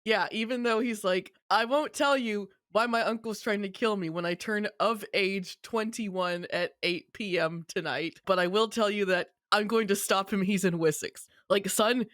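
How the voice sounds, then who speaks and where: Podcast co-host, casual recap chat, sardonic, sweary, mid-pitched voice, dry close sound. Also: moderate pace, hardly any pauses, fairly bright tone, dry recording.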